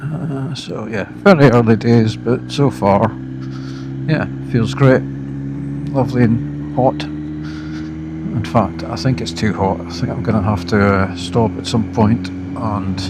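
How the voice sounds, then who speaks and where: A Scottish man giving a casual, unscripted product review as a motorbike vlogger, speaking haltingly in short phrases while riding outdoors. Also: lowish voice. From Scotland. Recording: loud motorbike wind and engine noise.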